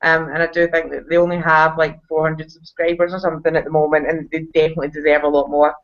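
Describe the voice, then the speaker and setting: A woman's voice: low-pitched, level tone, quick pace. A woman guest vlogger chatting enthusiastically on a live video call, laughing often, from a hall. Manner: enthusiastic, giggly. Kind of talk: casual live video chat. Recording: dull lo-fi video call audio.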